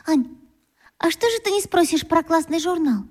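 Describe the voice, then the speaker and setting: A very high, girlish voice for a sociable schoolgirl in a radio play. She is chatty, gushing and full of opinions. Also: animated, moderate pace, darkish tone. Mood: chatty, indignant. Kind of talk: children's radio play acting.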